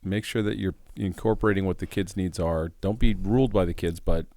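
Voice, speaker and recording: Middle-aged man, deep low voice, agreeable, reflective, dry close mic. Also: fairly even intonation, fairly quick, darkish tone, no room sound. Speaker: podcast co-host. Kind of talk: casual chat.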